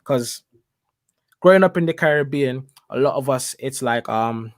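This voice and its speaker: A man's voice: mid-range voice, normal speed, no room sound, studio quality. A man hosting a casual interview show, enthusiastic and repeating words for emphasis. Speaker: host.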